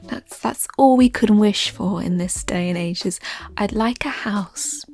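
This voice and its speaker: A woman speaking in a soft, breathy, often near-whispered voice, chatting gently and ramblingly in an ASMR style. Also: medium pitch, a little slow. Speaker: ASMR creator answering listener questions. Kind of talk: soft-spoken ASMR chat. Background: music.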